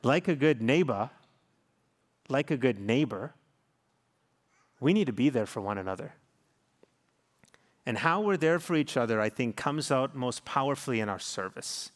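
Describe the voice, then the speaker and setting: A man's voice: medium pitch, animated, normal speed, long pauses. A man in his thirties, a pastor giving a sermon and closing prayer, telling a family story in an earnest, pastoral way. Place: large church sanctuary. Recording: long hall reverb.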